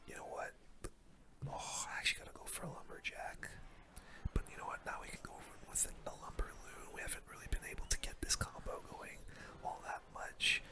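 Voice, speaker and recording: A video game streamer giving casual ASMR gameplay commentary in a soft, breathy whisper, with relaxed gamer slang. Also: brisk. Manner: casual gamer slang, murmured reactions to play.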